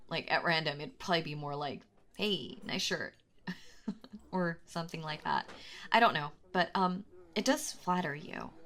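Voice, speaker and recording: A woman's voice: on the low side, a bit bright. A woman playing a very shy, anxious young stranger in an intimate audio roleplay, rambling and stammering as she talks to the listener. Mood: shy, nervous. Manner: hesitant, stammering, nervously giggly, self-doubting.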